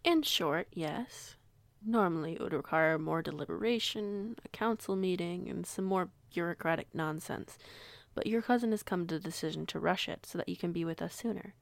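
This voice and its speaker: A low female voice with heavy fry, recorded close and dry, gives us a werewolf pack leader, acted by a woman in an audio roleplay. She is composed and kindly, with formal, measured authority. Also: no echo. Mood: warm, businesslike.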